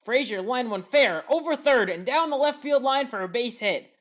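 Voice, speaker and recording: Woman narrating sports highlights, matter-of-fact. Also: expressive, normal speed. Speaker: sports highlights commentator and channel host. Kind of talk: scripted play-by-play breakdown narration.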